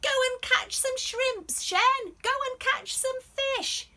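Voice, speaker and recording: A woman voicing a bossy, demanding villager who calls out to a girl in a storybook, loud and sing-song. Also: extremely high pitch, takes it slowly, voice pushed up, heavily acted. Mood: bossy calling.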